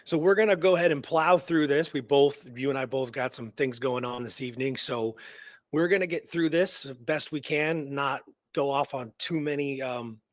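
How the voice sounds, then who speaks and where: A man's voice: mid-range voice, moderate pace, no room sound. Man telling a story, dry close sound. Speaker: co-host telling a true crime history. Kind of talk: casual storytelling talk.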